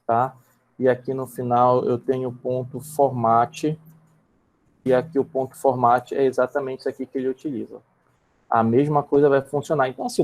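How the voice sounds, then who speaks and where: A man's voice: mid-range voice, a little mellow. Brazilian man teaching coding over a call. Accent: Brazilian Portuguese. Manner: explains step by step, often adds checking tags like "tá?" and "né?"; repeats and restarts words while typing. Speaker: programming instructor. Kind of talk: live online coding lesson.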